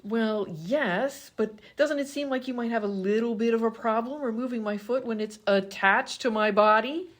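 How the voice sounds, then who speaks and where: A woman's voice: on the high side, normal speed, few pauses, lightly acted. A woman playing a sardonic, exasperated patient in a comedy radio play, incredulous at what she is told. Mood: skeptical. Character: wisecracking, exasperated female patient with a broken foot.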